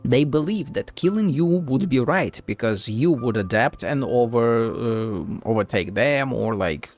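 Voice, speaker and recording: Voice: mid-range voice, expressive, measured pace, darkish tone. A game streamer giving casual live reaction commentary, chatty, blunt and sweary. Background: game music.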